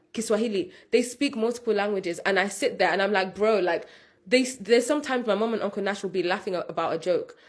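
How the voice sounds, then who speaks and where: Young woman, from the UK, emphatic vlog rant, mid-pitched voice. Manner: passionate, emphatic, repeats for stress. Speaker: vlogger. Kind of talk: casual opinion monologue.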